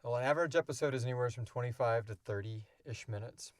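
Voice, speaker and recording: A man's voice: medium pitch, quick pace, no echo. A man co-hosting a show, chatting off the cuff in an informal, rambling way as he explains things. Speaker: podcast co-creator and co-host. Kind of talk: casual unscripted Q&A chat.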